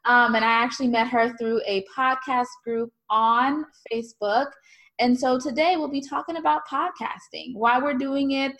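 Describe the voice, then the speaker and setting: A woman's voice: a little high, fairly bright tone. A woman hosting a podcast, opening the show and interviewing her guest in a friendly way, with many fillers. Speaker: podcast host. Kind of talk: scripted show intro, then interview.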